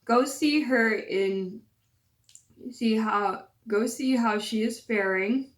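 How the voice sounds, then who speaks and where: A woman's voice: on the high side, slow, echoey room, distant mic. A woman with a scratchy voice voicing a caring mother who gives her daughter careful instructions in a storybook reading. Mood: instructing. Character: caring mother, gently firm.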